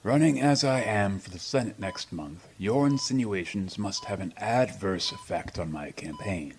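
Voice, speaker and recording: A man playing an ailing, proud old politician in a radio drama, speaking in formal, pompous, measured phrases. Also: fairly low pitch, expressive, a little hiss or hum. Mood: stiff, aggrieved.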